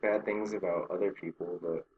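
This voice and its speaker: A young man chatting casually as an interview guest, peppering his talk with "like" and quick laughing asides. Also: deep voice, steady tone, dull audio. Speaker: guest, Japanese learner working in Japan. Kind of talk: casual conversation.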